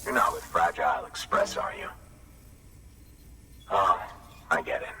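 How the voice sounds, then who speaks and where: An actor playing a gruff, distrustful older man in a video game, with a folksy, grumbling manner of speech. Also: low-pitched, lively intonation, a little slow. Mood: wary approval. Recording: roomy echo, distant, faint noise.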